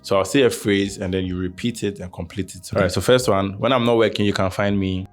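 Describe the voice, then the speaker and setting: A man hosting a casual interview, reading quick-fire prompts in a playful, teasing way. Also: sits low, steady tone, faint music behind. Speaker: podcast host.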